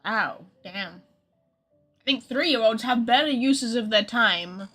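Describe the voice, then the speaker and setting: A woman's voice: on the high side, takes it slowly, a bit bright, faint music behind. A woman giving casual live game commentary as a streamer, with a blunt, sarcastic manner. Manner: reacts bluntly to dialogue, sarcastic.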